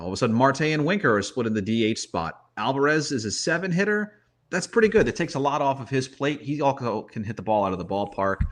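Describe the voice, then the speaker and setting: A man's voice: medium pitch, a bit bright. Man talking baseball, stammering as he thinks. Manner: conversational, stammers and repeats words as he thinks aloud. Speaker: baseball commentator, guest analyst. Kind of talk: casual sports talk, off-the-cuff analysis.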